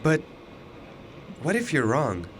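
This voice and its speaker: A man's voice: a little high, huge pitch swings, slow pace, fairly bright tone, slight background noise, exaggerated voice. An actor in a radio drama playing an anxious but defiant young male university student, questioning with earnest emotion. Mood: challenging. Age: young adult.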